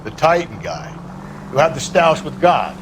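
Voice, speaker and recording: An actor playing a gruff, cynical, middle-aged American police detective in a 1940s crime game, blunt, slangy and world-weary. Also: male voice, on the high side, sing-song, a little slow, background noise. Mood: wry. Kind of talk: video game cutscene acting. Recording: music behind the voice.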